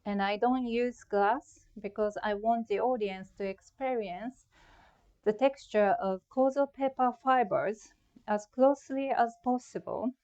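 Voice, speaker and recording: A woman's voice: fairly high pitch, a little slow, a little mellow, lo-fi sound. A middle-aged woman from Japan with a Japanese accent, giving a prepared, reflective talk about her own art. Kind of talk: prepared artist talk presentation.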